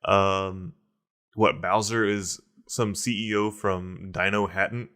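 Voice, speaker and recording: A man hosting a podcast, chatting casually and jokily in an animated, slangy way. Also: low voice, slow. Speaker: podcast host. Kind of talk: casual comedic banter.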